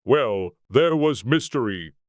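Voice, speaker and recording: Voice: medium pitch, expressive, slow, dry recording, studio quality. A storybook narrator voicing a melancholy, touchy old male turtle who tells tales in a sighing, mournful way. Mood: mournful, wistful.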